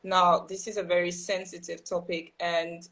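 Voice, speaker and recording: A young woman from Burkina Faso speaks on a video call, earnest and thoughtful. Her voice sits a little low for a woman. She pauses often with ums and restarts her sentences. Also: level tone, fairly bright tone. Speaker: guest writer. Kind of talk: casual live-stream conversation.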